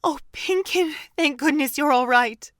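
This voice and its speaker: A woman's voice: high-pitched, a little slow, a bit bright, no room sound, clean studio sound. A woman acting in an audio drama as a protective, anxious pony mother. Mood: relieved, anxious.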